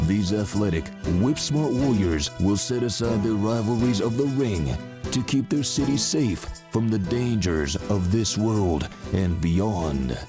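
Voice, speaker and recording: A man playing a grand storyteller, delivering dramatic scripted narration for an audio drama in a portentous manner. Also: lowish voice. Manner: portentous, ominous. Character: grave, grandiose male storyteller narrator of pulp adventure.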